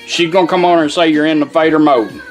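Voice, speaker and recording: A man's voice: on the high side, fairly even intonation, quick pace. Folksy man demonstrating, music playing behind. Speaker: product demonstrator. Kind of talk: hands-on how-to demonstration.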